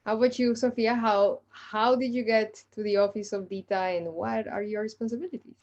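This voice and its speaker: A woman moderating an online panel, briskly passing questions to each speaker over a video call. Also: a little high, little pitch movement, a little mellow, lo-fi sound.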